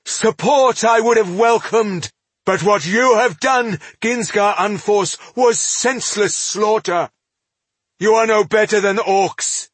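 Man as an anguished elf lord, high and impassioned. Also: takes it slowly, husky, no echo, heavily acted. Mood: outraged. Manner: accusatory, impassioned. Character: anguished, indignant male elf accusing his people's killer.

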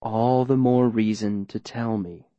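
A man playing a coldly menacing mayor in an audiobook, speaking in a measured, formal, falsely gentle way. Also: on the low side, steady tone, no room sound, highs cut off, close to an everyday voice. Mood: falsely reassuring. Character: smooth-talking, coldly menacing male mayor interrogating a captive.

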